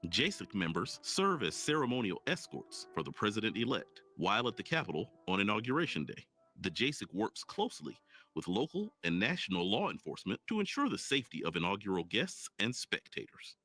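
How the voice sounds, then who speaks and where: A man with a very low voice but a bright, forceful tone narrates in a measured, formal way. His pitch moves widely as he reads. Soft music plays behind him. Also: very animated. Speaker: narrator of an informational video. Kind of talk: scripted documentary narration.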